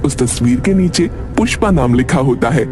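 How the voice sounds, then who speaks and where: A man's voice: mid-range voice, animated, moderate pace. A man narrating a cartoon story from a script.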